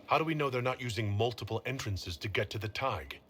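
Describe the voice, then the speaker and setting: An actor playing a composed, commanding leader in a fantasy video game, speaking in a measured, authoritative way. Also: male voice, medium pitch, lively intonation, fairly bright tone, slightly rough. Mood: questioning, resolute.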